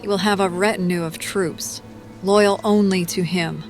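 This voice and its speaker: A woman playing a determined, proud young warrior in a dramatic audio drama, speaking in a measured, solemn way. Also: lowish voice, fairly even intonation, soft background music, close to an everyday voice. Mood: resolute.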